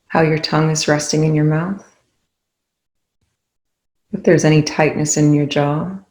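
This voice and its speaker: A meditation instructor speaking in a soothing, unhurried way with long pauses, guiding a body awareness practice. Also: mid-range voice, fairly even intonation, darkish tone. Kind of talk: guided meditation. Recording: echoey room.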